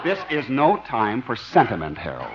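An actor playing a fussy, pompous radio station boss who scolds indignantly in a 1950s sitcom. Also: male voice, medium pitch, huge pitch swings, moderate pace, over a noisy crowd, heavy background noise. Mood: stern. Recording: muffled vintage 1950 radio broadcast.

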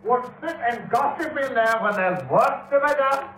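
An actor playing a vain, tyrannical Shakespearean thespian in a 1950s radio drama, declaiming grandly. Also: male voice, extremely high pitch, animated, normal speed. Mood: irritated. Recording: roomy 1950s radio recording.

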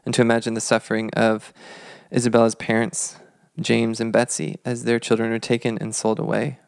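A man in his thirties co-hosting a podcast, telling history in a measured, reflective, conversational way. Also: mid-range voice, monotone, vocal fry, clean tone, no room sound. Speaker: podcast co-host.

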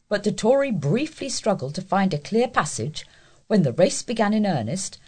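A woman's voice: lowish voice, dark tone, husky. A woman reading scripted local news as a volunteer newsreader.

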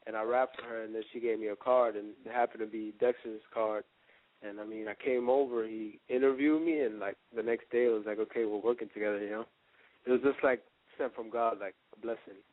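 A man's voice: fairly low pitch, steady tone, brisk. Young man on a tinny phone line. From Dominican Republic. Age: early twenties. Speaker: rapper, interview guest. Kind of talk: casual phone interview.

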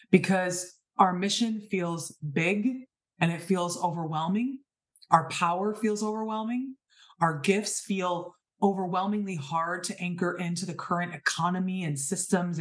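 A woman in her thirties speaking in a fairly low register. She gives an inspirational spiritual monologue, earnest and emphatic, and leans on repeated rhetorical questions. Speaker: podcast host.

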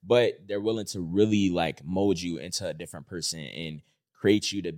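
Low-voiced man giving earnest advice. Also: normal speed, a little mellow, no room sound, studio quality. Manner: earnest, encouraging, filler-heavy with "like". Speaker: podcast co-host. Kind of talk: casual podcast chat.

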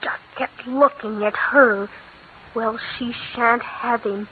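A woman's voice: fairly high pitch, steady tone, slow pace, a little hiss or hum. An actress playing a spoiled, jealous young girl in a 1940s radio drama, switching between sweet pleading and sullen resentment. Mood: brooding. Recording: tinny old radio broadcast.

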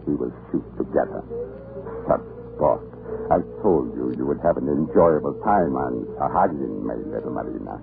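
An actor playing a cruel, corrupt and bullying Russian prison commandant in an old radio horror drama. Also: male voice, very deep voice, lively intonation, moderate pace, gravelly, heavily acted. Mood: gleeful. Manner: imperious, bullying, theatrical. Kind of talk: 1930s radio horror acting. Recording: scratchy tinny 1930s radio recording.

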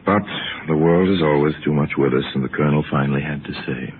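A man narrating a vintage radio mystery in a wry, confiding, deliberate storytelling manner. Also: extremely low pitch, slight background noise. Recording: thin, narrow old radio recording, music. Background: dramatic music.

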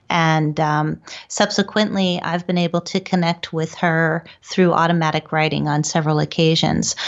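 Female podcast guest, conversational storyteller, lowish voice, slightly muffled recording. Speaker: medium and paranormal researcher, podcast guest.

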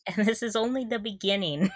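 A woman's voice: medium pitch, brisk, no room sound, studio quality. A woman co-hosting a podcast, chatting casually and enthusiastically as a fan, with a giggly manner. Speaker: podcast co-host.

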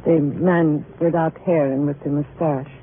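An actress playing an anxious young dance hall suspect in a 1950s radio drama, speaking in hesitant short phrases. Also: female voice, low-pitched, steady tone, measured pace. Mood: hesitant, compliant. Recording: tinny, noisy old radio recording.

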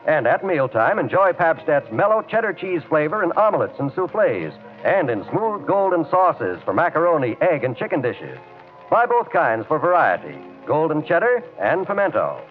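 A male commercial announcer reads 1940s radio ad copy in a bouncy, fairly high voice. The recording is thin and tinny, with faint music. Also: sing-song, normal speed. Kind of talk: 1940s radio advertisement read.

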